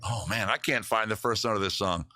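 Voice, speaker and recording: A man's voice: on the low side, a little fast. A man hosting a live music stream, chatting casually with viewers between songs in a rambling, joking way and poking fun at his own singing. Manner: self-deprecating about his own singing. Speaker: livestream host and musician. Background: his own acoustic guitar playing.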